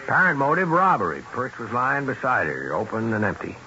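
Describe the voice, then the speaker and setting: Terse skeptical cop in 70s radio play, forceful n lively pitch, muffled recording. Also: male voice, a little fast, heavily acted. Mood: clipped, factual. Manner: clipped, matter-of-fact, dry. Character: skeptical, terse male police sergeant detective. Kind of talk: 1970s radio drama acting. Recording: dull, noisy old radio broadcast.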